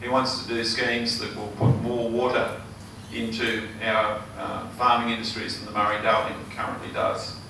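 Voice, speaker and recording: An older Australian man with a hoarse, failing voice, giving an earnest campaign speech full of ums and uhs. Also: on the low side, little pitch movement, moderate pace, hardly any pauses. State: hoarse, losing his voice. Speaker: election candidate. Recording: echoey hall, mic far off, noisy.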